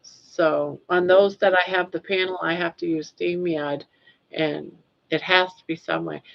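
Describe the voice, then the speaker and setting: A woman's voice: lowish voice, fairly even intonation, measured pace, creaky. An older woman from Maine hosting a casual livestream, rambling and laughing easily as she reads the chat. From Maine, USA. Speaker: livestream host. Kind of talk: casual live chat reading comments. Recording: dull lo-fi stream audio.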